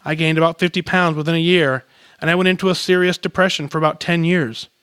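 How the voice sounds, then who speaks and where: A man hosting a podcast, reading a listener's letter aloud in an earnest, measured way, letting sentence endings fall softly. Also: on the high side, steady tone, clean tone, no echo. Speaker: podcast host.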